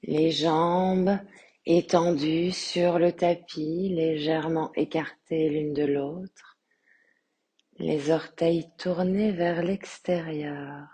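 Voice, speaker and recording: A woman yoga teacher leads a guided meditation in a soft, husky voice. Her tone is mellow and on the low side. She speaks extremely slowly and calmly, leaving long pauses between phrases. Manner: calm, soothing, measured with long pauses. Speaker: yoga teacher guiding relaxation.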